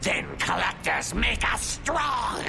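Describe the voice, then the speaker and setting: Shrieking, gravelly alien brute. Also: male voice, high-pitched, sing-song, slow, heavily acted. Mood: snarling, threatening. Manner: broken, primitive grammar. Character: savage, aggressive alien scavenger thug. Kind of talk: video game creature acting. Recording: loud music behind.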